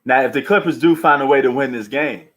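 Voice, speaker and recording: A man's voice: medium pitch, fast talker, fairly bright tone. Slangy, bantering man hosting a sports stream. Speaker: livestream sports host. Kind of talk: casual live sports chat and commentary.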